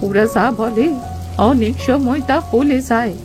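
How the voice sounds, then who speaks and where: High-pitched, kindly old village auntie acted by a woman. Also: unhurried, over music, lightly acted. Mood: kindly, reassuring. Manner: affectionate, indulgent. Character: kindly old village aunt, gentle and wise. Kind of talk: animated moral story acting.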